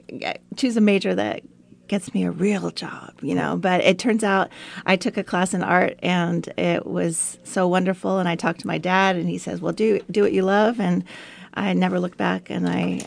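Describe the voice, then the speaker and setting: Woman art professor, raspy fry throughout, relaxed recollection. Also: fairly low pitch, normal speed. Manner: reflective storytelling, casual. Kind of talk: radio interview talk.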